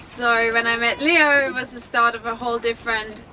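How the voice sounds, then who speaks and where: A woman's voice: fairly high pitch, steady tone, moderate pace, pushed and bright, a little hiss or hum, poor audio. A young woman from Denmark, co-hosting a sailing vlog in a light, chatty way. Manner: chatty, light, laughs easily. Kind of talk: casual vlog introduction.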